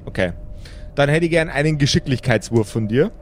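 A man narrating an improvised tabletop game as game master, describing scenes in a measured, deliberate way. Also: a little high, expressive. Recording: music behind the voice. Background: music.

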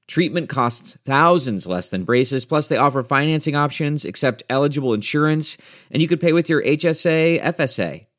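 A man giving a scripted commercial read as an advertising voice-over. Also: mid-range voice.

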